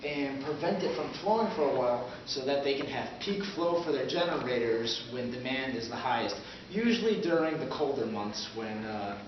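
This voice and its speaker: A man giving an amateur, loosely scripted presentation as presenter, in a halting, fumbling and vague manner. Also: mid-range voice. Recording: echoey, muffled, noisy, distant camera mic.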